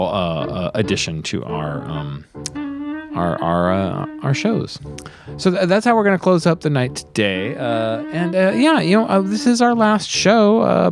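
A man hosting a radio show in casual, unscripted chat, rambling and halting as he talks with enthusiasm. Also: fairly low pitch.

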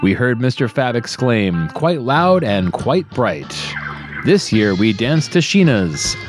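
Lively man reading rhymes over guitar music. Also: medium pitch, takes it slowly. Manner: playful, theatrical storytelling. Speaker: DJ on a radio show. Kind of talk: rhymed holiday poem reading. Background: plucked guitar music.

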